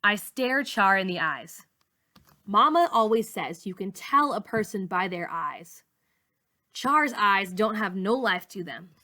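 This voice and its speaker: A woman reading a novel aloud as a teacher would, in expressive first-person story narration. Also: medium pitch, slow pace.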